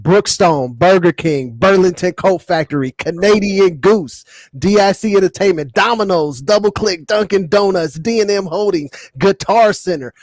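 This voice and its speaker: A man's voice: high voice, normal speed, darkish tone, no room sound. A man chatting casually as a podcast host, using slang and reacting readily to the others. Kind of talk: casual group banter.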